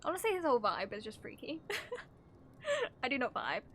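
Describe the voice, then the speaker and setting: A woman with a fairly high voice gives live game commentary. She is casual and playful, slipping between reading along and reacting, with slight noise in the background. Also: huge pitch swings, normal speed, fairly bright tone. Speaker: game streamer. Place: live stream over game audio.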